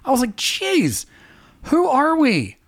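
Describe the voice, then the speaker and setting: A middle-aged man talking casually as a podcast co-host, chatty and quick to laugh as he wanders into stories. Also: extremely high pitch, huge pitch swings, no echo, clean studio sound. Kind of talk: casual chat.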